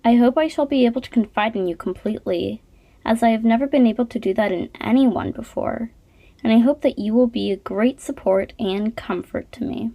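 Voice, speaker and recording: Woman voicing a soft, high, hopeful teenage girl diarist. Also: fairly quick, no room sound. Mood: hopeful, confiding. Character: hopeful thirteen-year-old girl confiding in her diary. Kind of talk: dramatic diary reading.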